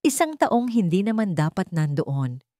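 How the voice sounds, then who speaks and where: A woman voicing a nervous, secretive clinic nurse in a radio drama, hurried and guarded. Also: fairly low pitch, big pitch swings, warm tone, dry recording, clean studio sound. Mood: urgent, secretive.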